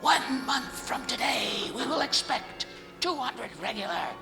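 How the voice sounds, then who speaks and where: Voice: high voice, measured pace, vocal fry, exaggerated voice. An actor playing a cold, menacing crime boss with a ruined, whispering voice in an old-time radio drama. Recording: echoey hall, loud music behind.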